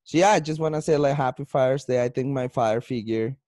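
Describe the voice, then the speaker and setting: A man's voice: mid-range voice, fast, a little mellow, no echo, studio quality. A man with a Colombian Spanish accent hosting a podcast, chatting loosely with frequent restarts and laughs. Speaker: podcast host. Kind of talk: casual podcast chat.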